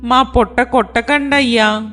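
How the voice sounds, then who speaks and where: A woman playing an anxious mother who pleads worriedly for her family in an animated moral story. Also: a little high, a little slow, faint music behind. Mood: anxious pleading.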